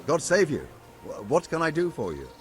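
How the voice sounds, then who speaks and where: A man's voice: a little high, wildly swooping pitch, moderate pace, over music. An actor playing a medieval townsman, civil but given to grumbling, in video game dialogue.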